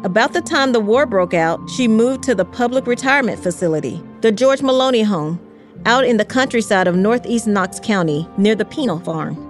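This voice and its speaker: A woman reading scripted history narration in a measured, carefully articulated storytelling way. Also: medium pitch, expressive, faint music behind. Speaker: podcast narrator.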